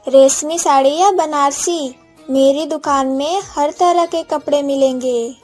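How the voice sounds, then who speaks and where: A woman's voice: sits high, slow pace, a little mellow, soft background music. A woman voicing a wronged but proud orphan village girl in a Hindi moral-story cartoon, speaking earnestly and dramatically. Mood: proud, polite.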